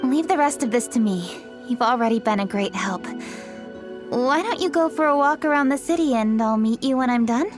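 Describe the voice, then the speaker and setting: A woman playing a composed, dutiful young female official in a video game, speaking in a measured, polite and earnest way. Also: on the high side, expressive, over music, close to an everyday voice.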